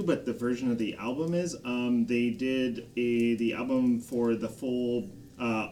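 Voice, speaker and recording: A man of average pitch explains things in a casual, conversational way. He is a little away from the microphone, with faint noise behind him. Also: a little mellow. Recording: slightly distant mic, faint background noise.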